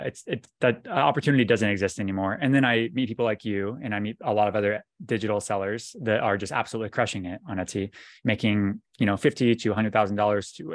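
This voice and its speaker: A man's voice: lowish voice, steady tone, a little fast, no room sound, studio quality. A man hosting a podcast interview in casual chat, asking informal, rambling questions. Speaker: podcast host interviewing a guest.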